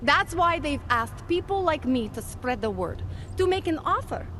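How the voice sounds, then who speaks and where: Game role: female company rep, earnest and persuasive, high register, lively swooping intonation, true-believer polish. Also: moderate pace, a little hiss or hum, full character voice. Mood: earnest, inspiring. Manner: fervent, polished sales pitch delivery. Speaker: company representative. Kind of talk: video game dialogue acting.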